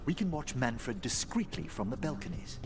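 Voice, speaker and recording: An actor playing a refined, earnest scholar mage in a video game, with formal, articulate phrasing. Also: male voice, medium pitch, big pitch swings, normal speed, noisy background. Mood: measured concern. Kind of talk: video game dialogue acting. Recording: game audio under music.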